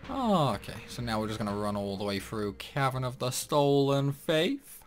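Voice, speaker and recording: A man's voice: medium pitch, normal speed, faint music behind. A man giving casual, rambling commentary while playing a video game. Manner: chatty, rambling, animated. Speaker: gaming YouTuber.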